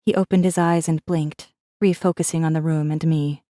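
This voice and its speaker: Low, mellow woman, acting a guarded rebel. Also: fairly even intonation, normal speed, dry recording, studio quality, close to an everyday voice. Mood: guarded, observant. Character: guarded, defiant young woman, human rebel messenger. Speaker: audiobook narrator. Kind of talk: first-person scripted narration, audiobook.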